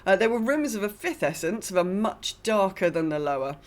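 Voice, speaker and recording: A woman's voice: mid-range voice, very animated, fairly quick. A woman co-hosting a podcast, reading a game lore recap in a casual way with dry, sardonic asides. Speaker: podcast co-host.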